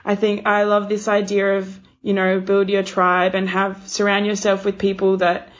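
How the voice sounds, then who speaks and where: Woman interviewer, even pitch, slightly muffled recording. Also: normal speed. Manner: encouraging, affirming, rambling. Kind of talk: casual, conversational interview.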